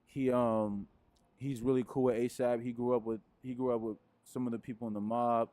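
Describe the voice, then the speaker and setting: Young male musician, relaxed rambling interview voice, mid pitch, handheld mic indoors. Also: normal speed, no echo. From Peru. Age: young adult. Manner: laid-back, rambling, frequent fillers. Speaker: musician guest. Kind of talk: casual interview answers. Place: indoors in front of a wooden wall.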